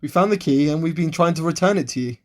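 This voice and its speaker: A man's voice: fairly high pitch, quick pace, natural voice. A man playing an insistent amateur sleuth in an amateur radio play, earnest and challenging. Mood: explaining. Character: dogged amateur sleuth confronting a suspect, insistent. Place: recorded alone at home, indoors.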